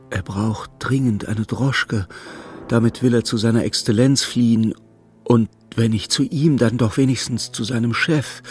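An actor narrating a literary radio play as a sombre storyteller, measured and dryly ironic. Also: male voice, mid-range voice, husky. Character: sombre, wry male storyteller of a feverish literary tale.

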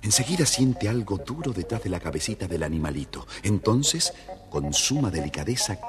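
A man narrating a scripted children's story in an expressive, theatrical way, with a husky voice. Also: on the low side, normal speed. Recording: hall-like reverb, faint music behind.

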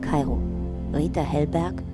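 A woman's voice: low-pitched, measured pace, loud music behind the voice. A woman narrating a radio drama in a clipped, factual, detached documentary style.

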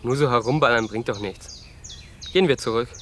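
Surly teenage boy for radio play, man actor: forceful bright voice, curt, dismissive, domineering. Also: medium pitch, a little fast, slight background noise, lightly acted. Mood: gruff, impatient. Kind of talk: radio drama dialogue.

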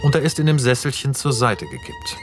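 A man's voice: on the high side, wildly swooping pitch, brisk, music in the background. A man narrating scene and camera directions in a radio play, detached and scripted. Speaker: narrator reading screenplay directions.

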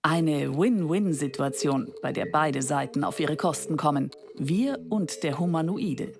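An actress playing a seductive female machine in a German radio play, declaiming deliberately and sultrily with a creaky voice. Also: sits low, over music. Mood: cool, seductive. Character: seductive female sex machine, coolly desiring humans.

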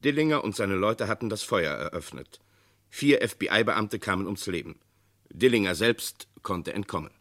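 A man narrating an old radio drama in a grave, measured way. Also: fairly low pitch, animated, fairly bright tone. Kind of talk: scripted narration.

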